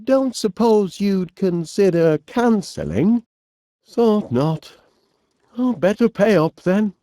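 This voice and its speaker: High, lilting Northern English inventor. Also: male voice, animated, slow, mellow, no echo, clean studio sound. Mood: genial musing. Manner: bumbling, chatty, hesitant, mild exclamations. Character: cheery, absent-minded middle-aged English inventor, fussy and genial. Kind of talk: animated comedy game voice acting.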